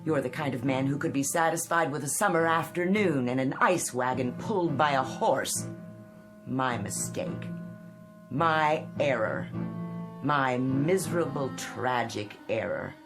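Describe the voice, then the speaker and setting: An actress playing a cold, ambitious, bitterly disappointed wife in a radio drama, speaking with cutting, deliberate sarcasm. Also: female voice, very deep voice. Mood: scornful. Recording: music bed under the dialogue.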